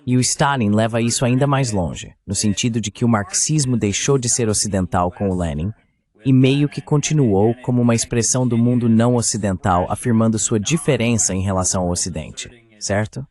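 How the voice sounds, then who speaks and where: A man's voice: on the low side, fairly quick, darkish tone. A man giving political commentary as an interview guest in a translated voice-over, explaining in a conversational manner.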